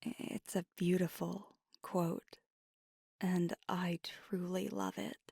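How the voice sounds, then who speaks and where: A woman voicing a tender, nervous vampire girlfriend in an intimate ASMR roleplay, speaking in hesitant, breathy whispers close to the mic. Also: on the low side, level tone, darkish tone, no room sound, exaggerated voice. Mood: anxious, confiding. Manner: hesitant, halting, earnest confiding. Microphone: close, dry microphone.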